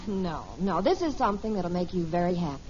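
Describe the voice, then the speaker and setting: A woman's voice: lowish voice, lively intonation, brisk, warm tone, close to an everyday voice. An actress playing an affectionate, chatty middle-aged wife and mother in a 1950s radio family comedy. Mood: cheerful. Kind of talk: 1950s radio sitcom acting. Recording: muffled, noisy vintage radio recording.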